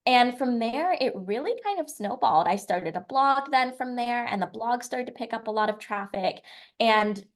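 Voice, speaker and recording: A woman's voice: on the high side, moderate pace. A woman in her twenties from the United States, a podcast guest telling her life story in a chatty, run-on way. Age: 20s. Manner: chatty, lively storytelling with long run-on sentences. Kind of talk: casual storytelling interview.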